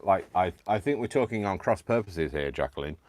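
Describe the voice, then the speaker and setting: Low-voiced British man chatting while crafting. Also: animated, a little fast, a little mellow, no echo. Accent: British English. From United Kingdom. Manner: rambling and self-deprecating, many ums and laughs. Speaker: crafting livestream host. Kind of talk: casual chat to live viewers while crafting.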